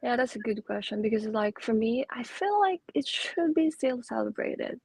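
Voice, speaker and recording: Female guest, Filipino accent, frank and conversational, higher-pitched with a husky, creaky texture. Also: animated, normal speed, a little mellow, no echo. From Philippines, Cavite. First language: Tagalog. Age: 30s. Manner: frank, opinionated. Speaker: interview guest. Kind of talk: casual chat.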